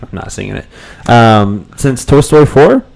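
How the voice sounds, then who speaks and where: A man's voice: medium pitch, lively intonation, normal speed, gravelly, darkish tone. A young man co-hosting a casual live stream. His voice cuts in and out from a cold, and he rambles with lots of ums and restarts. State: voice cutting in and out from a cold. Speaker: co-host of a fan podcast live stream.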